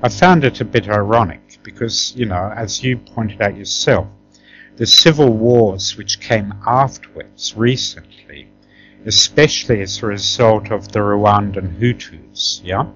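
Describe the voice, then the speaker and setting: A middle-aged man reminisces as an interview guest in an emphatic, conversational way, often tagging his points with a questioning "yeah?". He talks at an unhurried pace, and the recording is dull and lo-fi. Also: lowish voice, fairly bright tone, faint music behind. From Tanzania.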